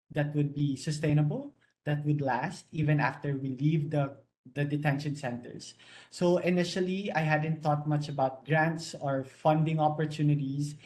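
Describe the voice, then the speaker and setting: A man's voice: a little high, darkish tone. A young man speaking earnestly as a panelist about leading a community project, fairly fluent with a few restarts. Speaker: youth project leader, panelist. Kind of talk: panel discussion.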